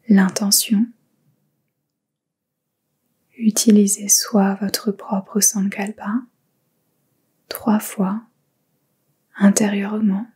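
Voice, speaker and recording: Female meditation guide, whispered, mellow and flat, slow calm delivery. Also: mid-range voice, husky. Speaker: yoga nidra teacher.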